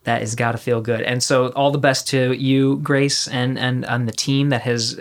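A man hosting an interview podcast in a conversational style, often rephrasing as he goes. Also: medium pitch. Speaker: podcast host.

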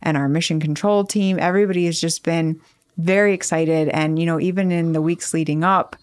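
Lowish-voiced woman scientist in a dry room. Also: fairly even intonation, fairly quick, clean tone, no echo. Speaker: principal investigator, scientist guest. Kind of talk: explanatory interview answers.

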